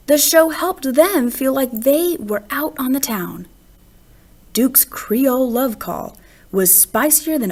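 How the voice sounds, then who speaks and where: Slow, higher-voiced woman reading a storybook. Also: a little mellow, slightly rough. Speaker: reader of a children's picture book. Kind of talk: storybook read-aloud, expressive narration.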